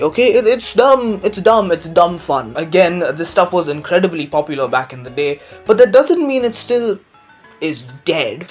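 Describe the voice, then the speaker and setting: A young adult man hosting a casual, comedic commentary rant, joking crudely with sarcasm and exaggeration. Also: sits high. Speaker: YouTube commentary host. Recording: music behind the voice. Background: background music.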